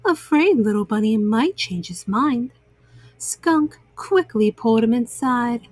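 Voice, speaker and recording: A woman telling a bedtime story to young children in a gentle, motherly, expressive manner. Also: fairly high pitch, slow, no room sound.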